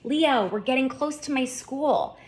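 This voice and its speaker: A woman voicing an excitable, curious young schoolgirl in a storybook, eager and earnest. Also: high-pitched, measured pace, slight background noise, voice pushed up. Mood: excited. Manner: eager, wide-eyed, earnest.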